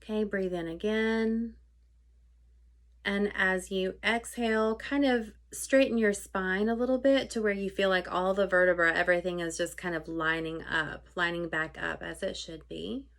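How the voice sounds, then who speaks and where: A woman leading a guided meditation in an informal, conversational way, unscripted, with halting phrasing that trails off softly. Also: mid-range voice, fairly even intonation.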